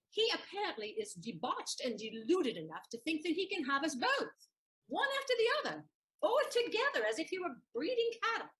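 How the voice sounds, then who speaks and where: A woman's voice: very high voice, a bit bright, exaggerated voice, poor audio. A woman playing a shrewd, teasing lady's maid in a stage comedy audio play, wry and knowing. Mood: scheming.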